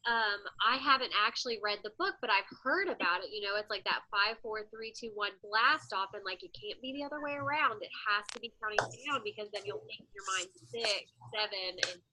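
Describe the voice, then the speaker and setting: A woman leads a casual group call with a bright, forceful voice. She rambles along with plenty of fillers. A touch of congestion lingers from a recent cold. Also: mid-range voice, expressive, brisk, few pauses, a little hiss or hum, poor audio. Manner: chatty, rambling with many fillers. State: congested, recovering from a head cold. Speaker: fitness coach hosting a group call. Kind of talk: casual group video chat.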